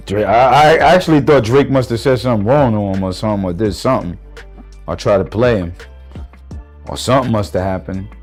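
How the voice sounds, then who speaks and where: A man's voice: lowish voice, brisk. A man gives a casual, off-the-cuff answer as an interview guest. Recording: music laid under interview clip.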